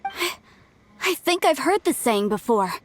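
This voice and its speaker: Earnest, high-pitched young archaeologist. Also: female voice, a little slow, slight background noise. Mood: wistful. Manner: earnest, expressive. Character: earnest, prim young female archaeologist, sensitive and reverent. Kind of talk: anime-style video game voice acting.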